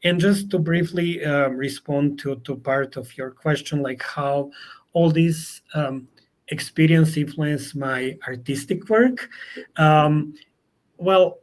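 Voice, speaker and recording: A middle-aged man with a Serbian accent, a Serbian speaker answering interview questions in halting English with many fillers and restarts. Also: a little high. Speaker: guest.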